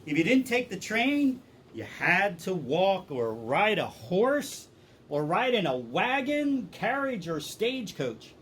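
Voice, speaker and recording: A man's voice: high-pitched, sing-song, takes it slowly, a bit bright, slight background noise. A man, a pastor, hosting and presenting a scripted puppet show for children.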